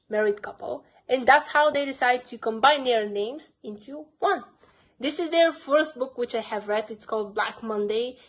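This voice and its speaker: A young woman with a Bulgarian accent, earnestly reviewing her crime book collection in a casual vlog. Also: a little high. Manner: earnest, simple phrasing with frequent "basically". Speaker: book vlogger.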